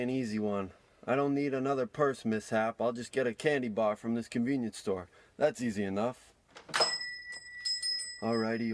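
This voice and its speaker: A man's voice: mid-range voice, slight background noise, lightly acted. A man playing an exasperated small-time crook in a parody old-time radio play, whining and pleading in old gangster slang.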